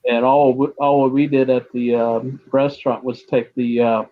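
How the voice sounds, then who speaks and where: A man's voice: medium pitch, little pitch movement. Hesitant male host, tinny phone-like sound. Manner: halting, lots of uh fillers. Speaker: host of a chat stream. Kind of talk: casual chat.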